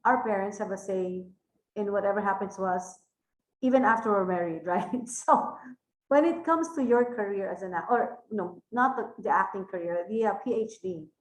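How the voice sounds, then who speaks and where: A woman's voice: mid-range voice, moderate pace, roomy sound, poor audio. A woman with a Filipino accent, hosting a casual podcast interview and chatting through her questions. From Philippines. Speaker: podcast host.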